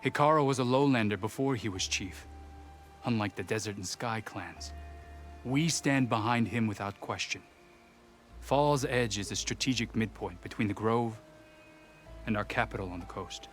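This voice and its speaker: An actor playing a proud, suspicious village leader with an accusing, declamatory manner in a video game. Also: male voice, fairly low pitch, normal speed, soft background music, natural voice. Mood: stern. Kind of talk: video game cutscene acting.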